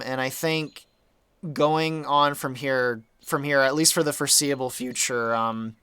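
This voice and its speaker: Bright, clear-voiced man with a sore throat. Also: medium pitch, no echo. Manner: chatty, rambling, easygoing. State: sore throat, slightly dry voice. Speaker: game modder and channel host. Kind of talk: casual development update talk.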